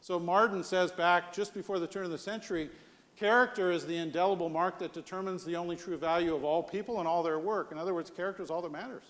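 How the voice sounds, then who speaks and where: A man's voice: sits high, fairly even intonation, fast talker, a bit bright. An American man giving a live leadership presentation as a conference speaker, conversational and punctuated with rhetorical questions. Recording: long hall reverb.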